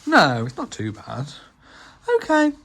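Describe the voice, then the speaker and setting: A man's voice: a little high, huge pitch swings, takes it slowly, creaky, a bit bright. A man hosting a casual vlog, chatting to viewers and narrating what is around him. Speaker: vlog host. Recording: noisy handheld vlog with crowd and street sounds.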